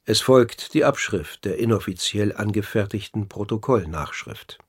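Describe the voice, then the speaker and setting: A man's voice: fairly low pitch, lively intonation, normal speed, slightly rough. A man narrating a scripted radio documentary drama in a formal, probing manner, as narrator and interviewer.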